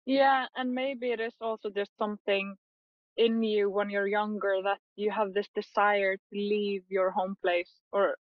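A young woman photographer chatting in an interview, talking quickly with many fillers and frequent laughs. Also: mid-range voice, fairly even intonation, no room sound, phone-quality sound. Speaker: photographer guest. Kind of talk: casual interview chat.

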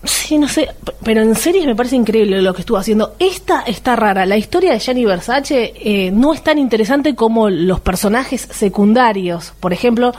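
Argentine woman, kinda high voice, just chatting reviews on a film show. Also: expressive, moderate pace, a little mellow. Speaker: film and series podcast panelist. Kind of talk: casual review chat.

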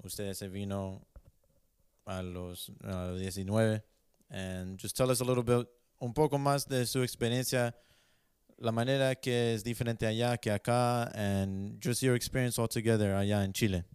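A man's voice: fairly low pitch, steady tone, a little slow, darkish tone, smooth, no echo, studio quality. A young man hosting a casual bilingual interview, switching between Spanish and English mid-sentence. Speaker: host interviewing his parents.

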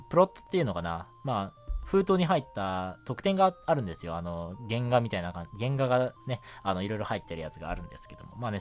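A man hosting a casual solo radio show, talking in a chatty, rambling way and often interrupting himself. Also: fairly low pitch. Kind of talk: casual solo radio chat about anime.

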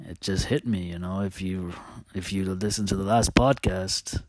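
A man talking casually as a host, telling personal stories in a halting, hesitant, confiding way. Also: sits low, fairly even intonation, darkish tone, dry recording.

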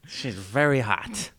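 A man co-hosting a podcast in casual chat, excitable and quick to laugh. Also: medium pitch, husky, dry recording, clean studio sound. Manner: excitable, laughs easily, jokey. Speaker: podcast co-host.

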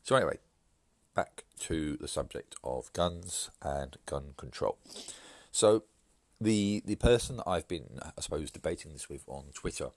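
A man with an extremely deep, low voice hosts his solo podcast, speaking in a measured, halting manner full of "uh"s and restarts. He sounds stuffy and bunged up from hay fever. The recording is close and dry. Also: animated, no room sound. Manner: measured, hesitant with fillers and restarts. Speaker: podcast host.

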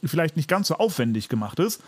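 Male podcast co-host, higher-pitched husky voice, emphatic and opinionated in casual talk. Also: big pitch swings, fast. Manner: emphatic, ranting, hyperbolic.

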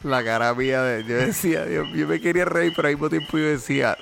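A man in casual podcast talk, his voice gravelly with a lot of fry. He is excitable and exclaims often. Music plays clearly behind him. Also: on the high side, fast. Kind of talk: casual chat.

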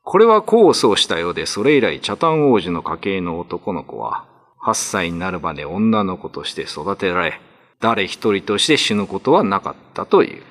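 A man's voice: deep voice, very animated, normal speed, fairly bright tone. A man narrating a classical ghost story for radio drama in a theatrical, ominous, scripted style. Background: music.

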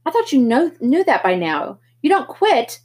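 A woman's voice: high voice, sing-song, moderate pace, a little mellow, breathy edge, voice pushed up, exaggerated voice. Woman voicing a stern, worried older brother. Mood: scolding. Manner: scolding, pleading.

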